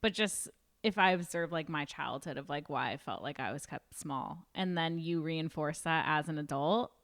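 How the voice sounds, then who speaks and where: A woman in her twenties co-hosts a podcast in a relaxed, reflective chat, leaning on "like" as she thinks aloud. Her voice is smooth and clear, sitting a little low for a woman. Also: moderate pace. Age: 20s. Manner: reflective, says "like" often. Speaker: podcast co-host. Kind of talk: casual chat.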